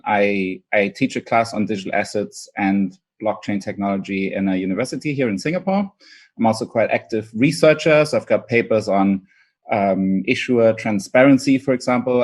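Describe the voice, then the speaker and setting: A man from Germany with a German accent speaks as a guest on an online panel. His voice is low, and he talks in a measured way with um fillers. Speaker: panel guest, lecturer and investor.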